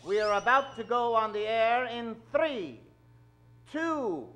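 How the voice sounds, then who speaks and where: Male stage emcee, middle-aged, high-pitched, showy and jokey, announcing at an unhurried pace. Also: expressive, background noise. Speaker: host of a live radio show. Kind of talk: theatrical live show announcing. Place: theater stage. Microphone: vintage-style stand microphone.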